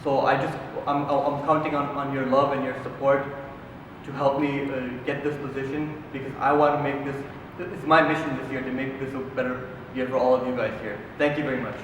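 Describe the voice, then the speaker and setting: An earnest young man gives a formal student election speech in a flat, even tone. He is heard from far off in an echoey hall with a noisy background. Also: medium pitch, fairly quick, dull audio. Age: young adult. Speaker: student council candidate. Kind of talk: campaign speech.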